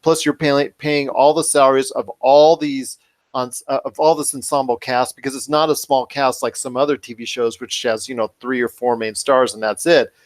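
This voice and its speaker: Mid-pitched man giving wordy commentary. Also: steady tone, normal speed. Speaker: podcast host. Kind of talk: conversational commentary.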